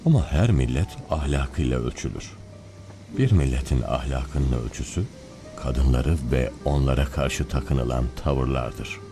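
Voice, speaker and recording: An actor playing a calculating priest in an animated film, speaking in deliberate, speech-like sentences. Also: male voice, extremely low pitch, music in the background. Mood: measured, scheming. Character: calculating old priest testing another nation's morals.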